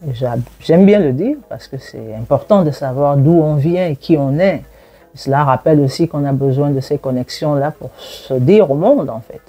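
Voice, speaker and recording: A woman in her forties from Gabon speaks with a mellow, dark-toned voice, sitting for an interview. She talks reflectively, with hesitant fillers and an easy laugh. Faint music plays quietly behind her. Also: deep voice, breathy edge. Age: 40s. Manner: reflective and warm in tone, laughs while recalling childhood, pauses with fillers. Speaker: university lecturer and researcher. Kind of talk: interview, personal testimony.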